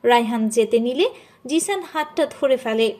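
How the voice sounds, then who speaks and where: A woman's voice: on the high side, animated, normal speed, darkish tone. A woman reading a scripted dramatic story as its narrator.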